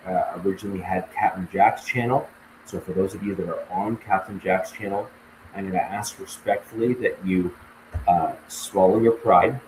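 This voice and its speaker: A Canadian man with a very low voice co-hosts a casual live sports stream. He speaks politely and in a measured way, with frequent "uh" fillers. His voice sounds roomy and echoey, over a faint hiss. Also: a little mellow. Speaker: podcast co-host.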